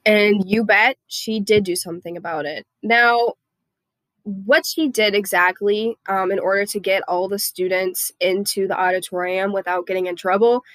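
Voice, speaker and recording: A woman's voice: mid-range voice, fairly even intonation, moderate pace, a bit bright, dry recording, rough recording. Young woman with a stuffy, nasal head cold. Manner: casual, read-aloud storytelling with fillers. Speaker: podcast host. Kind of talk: student history podcast narration.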